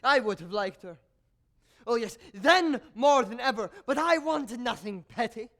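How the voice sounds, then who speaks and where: A scheming, embittered court composer played by a man confides in the audience. His very high voice moves slowly and swings widely, grandiose and declamatory, as in a stage tragedy. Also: expressive, a bit bright, exaggerated voice. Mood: defiant. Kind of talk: theatrical stage monologue.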